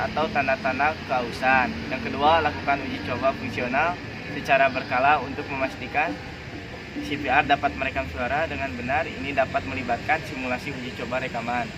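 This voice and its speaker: A young man reads out a student presentation at a middling pitch. His delivery is formal and goes point by point, over clearly audible background noise. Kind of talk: scripted presentation read aloud.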